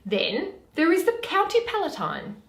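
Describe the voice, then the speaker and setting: A woman playing a sensible, loyal waiting-maid who gently advises her mistress in a measured Shakespeare reading. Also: very high-pitched, very animated, voice pushed up, exaggerated voice. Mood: gently prompting. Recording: echoey room.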